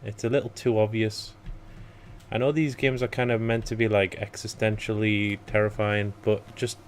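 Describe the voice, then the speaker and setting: A man with a croaky voice casually commentating gameplay, rambling and thinking aloud. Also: fairly low pitch, little pitch movement, moderate pace. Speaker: let's play commentator.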